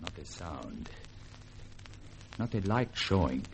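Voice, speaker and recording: An actor playing an anguished, resolute French Creole gentleman in a vintage radio drama, speaking formally and dramatically. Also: deep voice, very animated, takes it slowly, vocal fry, exaggerated voice. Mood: hushed dread. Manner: formal, impassioned, dramatic delivery. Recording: noisy vintage radio recording.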